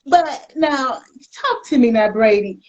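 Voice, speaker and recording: A woman's voice: on the high side, expressive, takes it slowly, a little mellow, muffled recording. A woman from North Carolina with a Southern US accent, hosting a casual live interview in a chatty, teasing, direct way. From North Carolina, United States. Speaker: talk show host.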